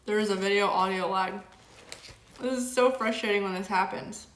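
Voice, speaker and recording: A woman's voice: mid-range voice, fairly even intonation, normal speed, reverberant, low-quality recording. A young woman hosting a casual livestream, reading chat aloud and rambling with lots of fillers. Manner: rambling, filler-heavy, frequent "like". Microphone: camera mic.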